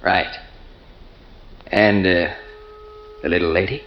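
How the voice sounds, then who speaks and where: A man playing an easygoing lunchroom counterman in a 1940s radio drama, slow and casual, on a dull old recording. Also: deep voice, steady tone.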